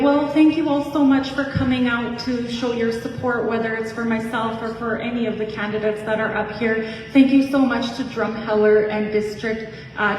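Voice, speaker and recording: A woman speaking in a friendly, casual and slightly halting way as a candidate at a debate. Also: fairly high pitch, slight background noise. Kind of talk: debate speech. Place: community hall. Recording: echoey hall, distant mic.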